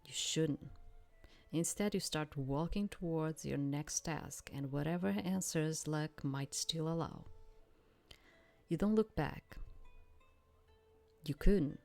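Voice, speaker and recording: A woman's voice: deep voice, slow pace, gravelly, pauses often, darkish tone, faint music behind. A woman reading scripted visual novel narration aloud in a dramatic storytelling style. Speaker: narrator reading game text.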